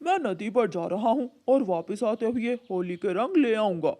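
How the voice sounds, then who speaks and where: A woman voicing a grumpy, tight-fisted crow father in a cartoon, gruff and dismissive. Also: mid-range voice, expressive, measured pace, warm tone, voice pushed down, natural voice. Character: stingy, short-tempered crow father.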